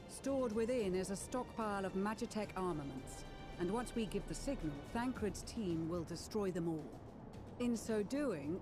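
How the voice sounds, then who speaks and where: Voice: medium pitch, lightly acted. An actor playing a composed, principled commander, speaking formally and with measure in scripted game dialogue. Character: composed, principled commander giving a military briefing.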